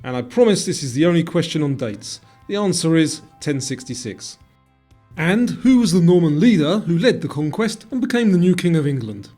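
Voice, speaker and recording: A man's voice: a little high, very animated, moderate pace, a little mellow, soft background music. A man presenting a quiz in scripted narration, reading out questions and answers.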